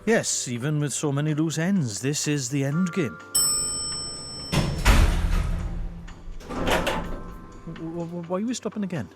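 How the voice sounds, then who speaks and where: A man's voice: on the high side, lively intonation, moderate pace, loud music behind the voice, close to an everyday voice. Flustered sidekick, played by a man. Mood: anxious. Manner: flustered, earnest. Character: hapless, anxious Welsh male detective's sidekick. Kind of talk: comic audio drama acting.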